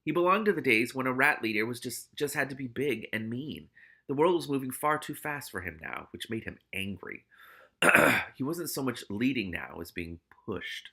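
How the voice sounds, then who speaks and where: Man, book read-aloud host, very bright forceful tone, lively pitch, casual commentary, animated. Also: moderate pace, vocal fry, breathy edge. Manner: animated, stumbles and breaks off to comment. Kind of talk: audiobook-style read-aloud with casual reactions.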